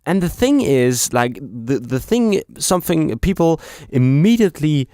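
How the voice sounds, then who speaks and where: Young man, German accent, smooth clear voice, dry close sound, rambling vlog chat. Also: fairly high pitch, big pitch swings, a little slow, warm tone, no echo. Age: young adult. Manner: rambling, many fillers and restarts. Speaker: YouTuber.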